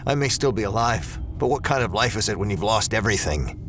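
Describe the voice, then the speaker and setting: An actor playing a grim, weary, commanding space ranger in a sci-fi audio drama, with stern, measured delivery. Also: male voice, on the low side, lively intonation, music in the background, lightly acted. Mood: gruff, guarded.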